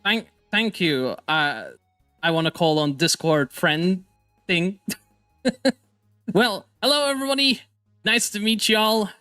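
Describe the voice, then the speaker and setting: Bright, goofy man hosting a game stream. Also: high-pitched, animated, smooth, no echo, studio quality, soft background music. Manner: goofy, upbeat, fast-talking. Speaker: stream host. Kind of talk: casual gaming stream chat.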